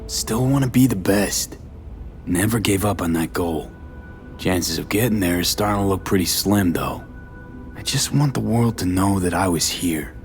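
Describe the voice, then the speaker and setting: A man's voice: deep voice, normal speed, faint music behind. A man playing a jaded, sardonic mercenary in a video game, blunt and guarded, in clipped, terse phrases with dry sarcasm. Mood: bitter, resigned.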